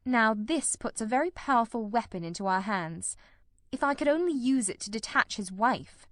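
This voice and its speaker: A woman's voice: fairly high pitch, animated, no room sound, lightly acted. Woman as assured male detective, dry close sound. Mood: calm, analytical. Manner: measured, explanatory, assured. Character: calm, incisive male detective explaining his deductions. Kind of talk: audiobook-style dramatised reading.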